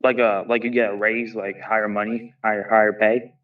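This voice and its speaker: Curious friend on the call, muffled and far from the mic. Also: male voice, fairly low pitch, little pitch movement, fairly quick. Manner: curious, asks lots of questions, repeats himself. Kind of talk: casual chat.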